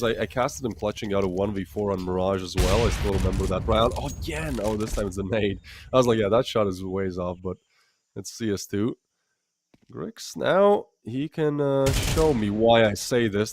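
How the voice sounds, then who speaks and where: A man from the Baltics casting a live esports match, chatty and excitable, breaking into laughs between plays. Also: lowish voice, slight background noise. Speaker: esports caster.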